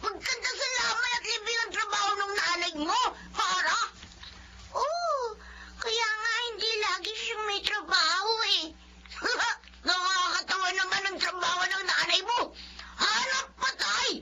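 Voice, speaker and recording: A woman voicing an excitable, whiny schoolchild in a radio drama, with a Filipino accent. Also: very high voice, measured pace, creaky, heavily acted. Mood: mocking. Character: nervous, tearful schoolchild and jeering young classmates. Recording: muffled, noisy radio drama audio.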